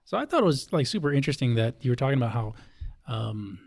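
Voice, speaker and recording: A man's voice: medium pitch, sing-song, quick pace, gravelly, darkish tone. A middle-aged American man from Hawaii hosting a casual interview in a laid-back, colloquial way with joking asides. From Hawaii, United States. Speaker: interview host.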